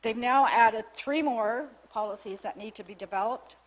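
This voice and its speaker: A woman's voice: fairly high pitch, normal speed, rough recording. A woman on a town's staff, losing her voice, giving a formal, methodical briefing on new legislation to a council meeting in a council chamber, reading out clauses with small restarts. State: losing her voice, with frequent coughs. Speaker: municipal staff member presenting to town council.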